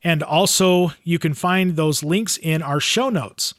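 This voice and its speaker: A man's voice: on the high side, a little slow, dry recording, clean studio sound. An American man hosting a podcast, giving announcements and introducing an interview.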